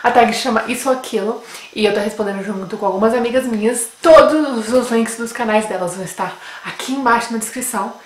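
A woman's voice: a little high, brisk. A young Brazilian woman in her twenties with a Brasília accent, chatting playfully to viewers as a beauty vlogger, recorded on a webcam in a room at home. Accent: Brasília Portuguese. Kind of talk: casual chat answering a beauty tag. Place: small room at home with bookshelf, indoors. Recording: roomy home room echo, faint noise.

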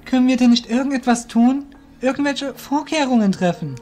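A man's voice: very high voice, a little fast, dark tone, soft background music, pitched above the performer's own voice. A man voicing an earnest young male apprentice warrior, questioning and respectful, in an audio drama. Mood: anxious.

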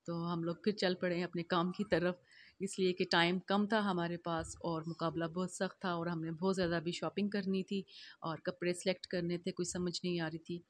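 Flat, low-pitched woman narrating a vlog. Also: no echo. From Pakistan. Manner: chatty, homely narration with frequent fillers. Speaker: vlogger.

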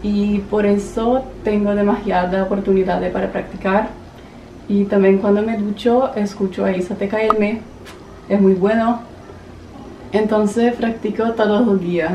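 A woman's voice: mid-range voice, fairly even intonation, normal speed, mellow. A young woman of eighteen introduces herself in a careful, practised way from her bedroom desk. The room rings around her, and the camera sits a little way off, with a faint hiss underneath. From Brazil. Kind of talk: short self-introduction to camera. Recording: echoey room, mic a bit far.

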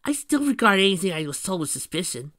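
Performer doing a brash, forceful young female pony. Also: mid-range voice, very animated, fast, no echo, studio quality, pitched above the performer's own voice. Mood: defiant. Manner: loud, challenging, incredulous. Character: brash, hot-headed, boastful young female pegasus pony.

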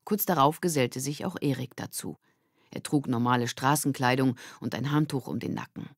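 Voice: medium pitch, moderate pace, dry recording, clean studio sound. A narrator reading a scripted children's story. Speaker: audiobook narrator.

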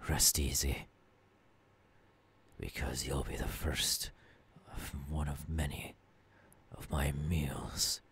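Menacing, tormented ghoul king, ASMR audio drama. Slow, brooding delivery, very breathy, husky, half-whispered. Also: a bass voice, steady tone, fairly bright tone, exaggerated voice. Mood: menacing, hungry. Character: tormented, menacing young male ghoul turned self-crowned king. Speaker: voice actor. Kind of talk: ASMR audio roleplay monologue.

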